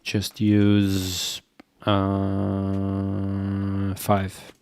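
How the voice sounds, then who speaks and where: A man with a Portuguese accent, a native Portuguese speaker, streaming live as he codes. He thinks aloud, muttering to himself and repeating quick agreements as he reads, and he sounds sick. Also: low voice, completely flat delivery, darkish tone, clear, resonant tone. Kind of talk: thinking aloud while coding, chatting with viewers.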